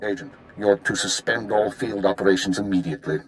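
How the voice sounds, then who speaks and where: An actor plays a male intelligence chief in a space-opera game. His low voice is formal and measured, giving orders with grave authority. A faint rumbling noise lies underneath. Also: lightly acted. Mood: stern, grave. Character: stern, measured male spymaster superior. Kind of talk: video game voice acting.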